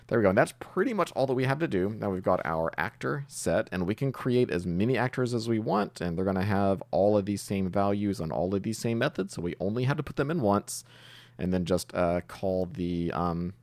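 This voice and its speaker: Very deep, gravelly-voiced man teaching coding. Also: darkish tone. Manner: explains step by step while typing, thinking aloud. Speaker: programming tutorial host. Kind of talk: informal screen-recorded coding tutorial.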